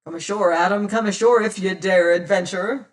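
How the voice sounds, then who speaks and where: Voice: medium pitch, moderate pace, distant mic, natural voice. A reader voicing a bold, cunning male pirate captain who talks hearty old-fashioned sea talk. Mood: hailing.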